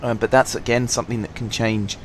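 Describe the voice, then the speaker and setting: A man with a sore throat, slightly husky, casually commenting on a game through a headset, rambling and apologetic with many ums. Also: medium pitch, flat delivery, brisk, slight background noise. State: sore throat, fighting coughs. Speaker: gaming channel host. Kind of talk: casual let's-play commentary.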